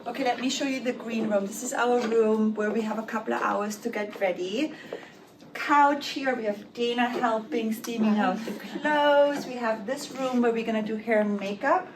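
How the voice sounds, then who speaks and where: A woman's voice: fairly high pitch, normal speed. A middle-aged woman from Austria, a makeup artist chatting upbeat in a casual vlog and talking through her products. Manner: chatty and upbeat, with fillers like "you know". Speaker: makeup artist and beauty brand founder. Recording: distant phone mic with background noise.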